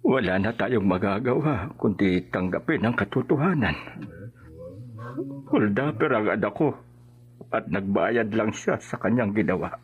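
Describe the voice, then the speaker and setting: A man's voice: fairly low pitch, lively intonation, unhurried, phone-quality sound. An actor playing an older father, grieving and resigned, speaking sorrowfully in a radio drama.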